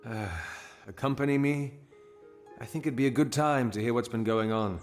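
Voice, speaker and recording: Guy playing a wry worn-out exile in a video game, medium pitch, dry delivery, music under it. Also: normal speed, natural voice. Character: wry, world-weary male exile returned home. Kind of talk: scripted video game acting.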